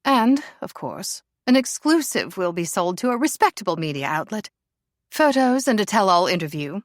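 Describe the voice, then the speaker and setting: Theatrical aristocratic mother, played by a woman, grand, gushing, high and sweeping. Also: big pitch swings, moderate pace, dry recording, clean studio sound, close to an everyday voice. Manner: grand, gushing, over-the-top.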